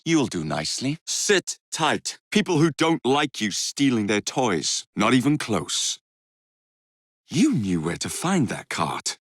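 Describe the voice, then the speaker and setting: An actor with an English accent playing a cocky, roguish young Victorian gang leader in a video game, with a cheeky, wry, theatrical manner. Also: male voice, lowish voice, fairly bright tone, no room sound, clean studio sound, full character voice. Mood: confident, wry.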